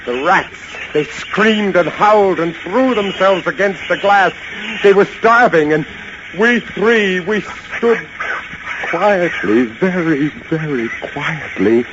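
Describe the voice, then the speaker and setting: An actor playing a haunted, intense lighthouse keeper, a man narrating an old-time radio horror story in a brooding, dramatic way. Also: fairly high pitch. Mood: feverish dread. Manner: dramatic, brooding, swinging from hushed to feverish. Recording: muffled, noisy vintage radio recording.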